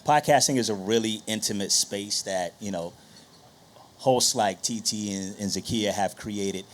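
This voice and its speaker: Male moderator, slightly low register, conversational interviewer for a live discussion. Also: a little slow. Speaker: panel moderator.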